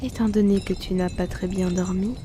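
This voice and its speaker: A woman voicing an aloof, wealthy hypnotist in a whispered ASMR roleplay, speaking softly and teasingly into a close mic. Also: on the low side, steady tone, background noise. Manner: soft teasing whisper, unhurried.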